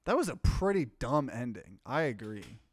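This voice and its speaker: A man's voice: mid-range voice, big pitch swings, moderate pace. A man doing casual let's play commentary, reading game text aloud and reacting to it as a streamer.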